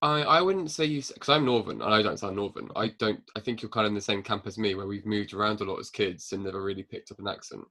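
A man's voice: on the low side, fast, few pauses, pushed and bright. A man hosting a podcast, asking friendly questions in an upbeat, teasing way. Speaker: podcast host. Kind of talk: casual interview chat.

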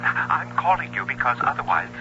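An actor playing a flustered, anxious husband, hesitant but urgent, heard through a telephone filter in a 1970s radio drama. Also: male voice, mid-range voice, sing-song, moderate pace. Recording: tinny phone voice on hissy old radio.

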